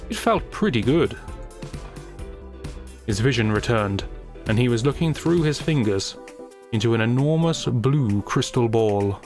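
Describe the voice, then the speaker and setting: Wry, higher-pitched male storyteller. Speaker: creator and narrator. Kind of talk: scripted narration, storytelling. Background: music.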